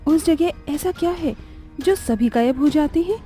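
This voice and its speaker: A woman's voice: high-pitched, a little slow, warm tone. A woman voicing an eager, earnest young village woman in a cartoon story. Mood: concerned, reassuring. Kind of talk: Hindi animated horror story dialogue. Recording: music bed under the voice.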